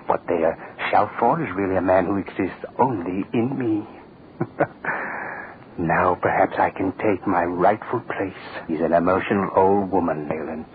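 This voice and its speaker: An actor playing a cold, power-hungry state official in theatrical, menacing, gloating 1940s radio drama style. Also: male voice, deep voice. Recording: tinny, hissy old radio recording.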